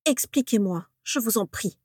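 A woman's voice: mid-range voice, expressive, moderate pace, a little mellow, dry recording, lightly acted. Determined young male wizard for an audiobook, read by a woman, insistent and earnest, dry studio sound. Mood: pleading, firm. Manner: firm, insistent. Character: determined, urgent young man, former pupil wizard.

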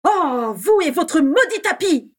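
A woman's voice: fairly high pitch, moderate pace, darkish tone, voice pushed up, close to an everyday voice. A woman voicing a fiery, scornful young witch in a storybook, snapping and mocking. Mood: furious.

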